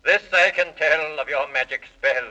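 A man's voice: fairly high pitch, normal speed, bright, forceful tone. A man playing a solemn, truthful magic mirror, declaiming in archaic rhyme on a muffled 1930s children's radio serial. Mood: solemn, foreboding.

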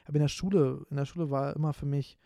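A man's voice: on the high side, fairly even intonation, fast talker, creaky, dark tone, no room sound. A man in his twenties from Berlin hosting a solo podcast, talking casually and rambling with lots of fillers and restarts. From Berlin, Germany. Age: 20s. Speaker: podcast host.

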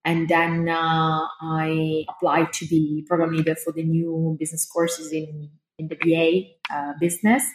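A woman with an Italian accent speaking as a guest in a podcast interview, chatty and enthusiastic, with frequent filler pauses. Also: sits low, completely flat delivery. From Italy. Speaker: guest, fashion business lecturer. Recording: roomy with faint noise.

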